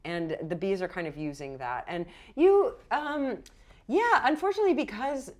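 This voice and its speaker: A woman improvising narration as a game master in a casual, animated, rambling way. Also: mid-range voice, moderate pace. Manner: animated, rambling, acts out characters' lines.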